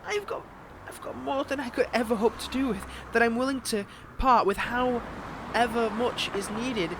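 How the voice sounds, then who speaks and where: A man's voice: extremely high pitch, normal speed, noisy background. A man playing a smug, possessive villain in a romantic audio drama, flirting and taunting theatrically while courting a former lover. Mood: pleading, indignant. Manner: flirtatious, taunting, theatrical, with pet names and veiled threats. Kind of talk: dramatic audio roleplay acting.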